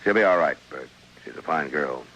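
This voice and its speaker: Very deep-voiced, grave frontier marshal. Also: male voice, animated, moderate pace, a bit bright, dull audio, full character voice. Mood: grave, reassuring. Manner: grave, terse, plain-spoken. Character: stern, weary, dutiful frontier marshal, loyal friend. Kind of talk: old-time radio western drama acting. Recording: noisy old radio drama recording.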